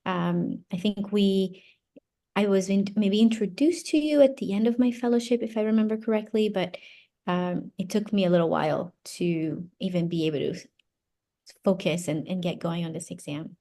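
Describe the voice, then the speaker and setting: A woman from Brazil, a native Portuguese speaker, giving casual interview answers and haltingly thinking aloud. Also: mid-range voice, mellow. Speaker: interview guest, psychology doctoral graduate.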